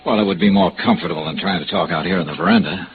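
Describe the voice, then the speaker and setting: Gravelly hard-boiled radio detective. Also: male voice, low voice, big pitch swings, very fast, background noise, exaggerated voice. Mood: cool, probing. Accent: American. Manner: clipped, sardonic, pressing. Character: hard-boiled male insurance investigator, probing, cool, wry. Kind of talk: 1950s radio noir acting, with first-person narration. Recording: dull vintage radio recording, music behind.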